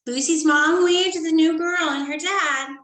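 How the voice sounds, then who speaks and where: A woman teacher reading a storybook aloud to first graders in a sing-song, animated way, often dropping to soft whispered asides. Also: very high-pitched, unhurried. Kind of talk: storybook read-aloud lesson for young children. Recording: echoey room.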